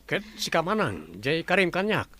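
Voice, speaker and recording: An actor with an Ilocano Filipino accent playing a carefree, coaxing young fiancé and favourite son in a radio drama. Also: male voice, a little high, wildly swooping pitch, normal speed, bright, forceful tone, a little hiss or hum, exaggerated voice. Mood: wheedling.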